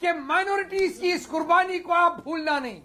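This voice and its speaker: Man on a heated news panel, extremely high-pitched, loud and accusing delivery. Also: normal speed. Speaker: debate panelist. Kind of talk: heated TV debate.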